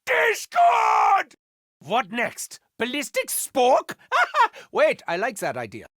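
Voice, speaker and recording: A man's voice: very high voice, huge pitch swings, takes it slowly, a bit bright, no echo, raised voice, studio quality, heavily acted. An actor playing a manic, unhinged German male doctor in a video game, with a German accent and a theatrical, mock-wounded manner. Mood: gleeful.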